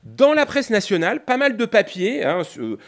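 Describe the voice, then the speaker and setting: A man's voice: high-pitched, big pitch swings, moderate pace. A man giving an analytical, semi-improvised academic lecture, often hesitating, breaking off and rephrasing as he explains. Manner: hesitant, repeatedly breaking off and rephrasing, punctuated with rhetorical tags. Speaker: political scientist, university lecturer.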